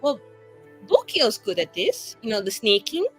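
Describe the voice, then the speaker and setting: A woman's voice: on the high side, big pitch swings, measured pace, breathy edge, soft background music. A woman playing a tabletop roleplaying game, planning casually in informal, slangy speech. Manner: informal, slangy, frequent use of like.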